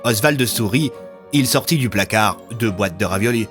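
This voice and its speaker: A man's voice: on the low side, animated, normal speed, fairly bright tone. A man narrating scripted, dramatic audio fiction as a storyteller, with music underneath.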